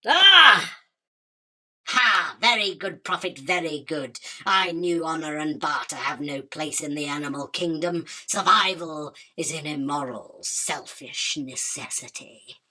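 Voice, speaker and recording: A woman's voice: deep voice, animated, unhurried, sharp tone, heavily acted. A woman playing a haughty, imperious spider queen in a radio drama, declaiming in a grand, self-important manner. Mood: triumphant. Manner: pompous, grandiose, theatrical declaiming. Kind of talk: illustrated radio drama acting.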